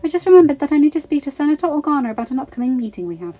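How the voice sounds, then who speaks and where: A woman's voice: sits high, sing-song, racing, mellow, dull audio, voice pushed up. A woman voicing a proud young female senator in an audio story, formal, firm and icy. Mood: stern, cold. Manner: stern, formal.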